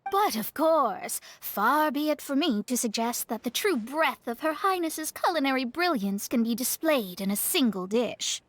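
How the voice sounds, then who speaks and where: A woman's voice: high-pitched, animated, normal speed, hardly any pauses, no echo. A woman voicing a proud, refined young astrologer in a video game, with precise and formal speech. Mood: polite, embarrassed. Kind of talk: game character acting.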